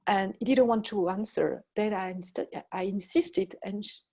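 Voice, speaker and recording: A middle-aged woman with a Belgian French accent delivers a lecture built from personal anecdotes. She speaks in a measured, reflective way, pausing often and reworking her phrasing mid-sentence. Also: mid-range voice, fairly even intonation, dark tone. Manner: reflective, measured, with pauses and self-corrections. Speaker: professor giving a talk.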